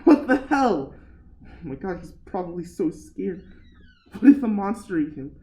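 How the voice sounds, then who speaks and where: A woman's voice: fairly low pitch, big pitch swings, normal speed, dark tone. A young woman acting in a radio play as an anxious father and scientist. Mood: horrified, angry. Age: young adult. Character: frantic father, scientist whose son is lost.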